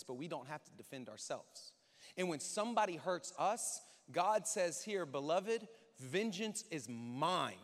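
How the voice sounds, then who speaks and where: A man preaching a contemporary, conversational sermon as a pastor, speaking emphatically and informally with rhetorical questions and repetition. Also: a little high. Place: large church hall, speaking to an audience. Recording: echoey hall with long reverb.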